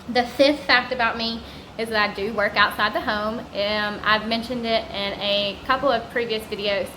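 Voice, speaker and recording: A woman's voice: mid-range voice, echoey room, noisy background. A woman in her thirties with a Southern US accent, chatting casually to camera in a rambling vlog. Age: 30s. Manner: chatty, rambling, warm asides to viewers. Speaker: vlogger. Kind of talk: casual sit-down chat to camera. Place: vacation home indoors.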